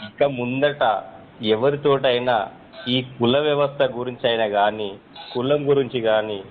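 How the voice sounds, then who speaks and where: A man's voice: medium pitch, measured pace, soft background music. A man, a reporter speaking deferentially in a phone interview. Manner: deferential, repeatedly saying madam. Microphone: phone line. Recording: noisy, tinny phone call recording.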